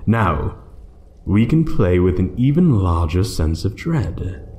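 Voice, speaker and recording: Man voicing a slow, deep, menacing ancient dragon. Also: very animated, dark tone, heavily acted. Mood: cruel amusement. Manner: slow, taunting, condescending, dropping into whispers. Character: ancient menacing male dragon toying with a thief. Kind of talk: one-sided ASMR roleplay acting.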